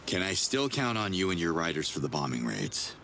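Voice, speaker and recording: Deep-voiced wry action hero, animated. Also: male voice, a little fast, gravelly, slight background noise, exaggerated voice. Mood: concerned, steady. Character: rugged human hero, blunt, wry soldier helping villagers. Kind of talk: video game cutscene acting.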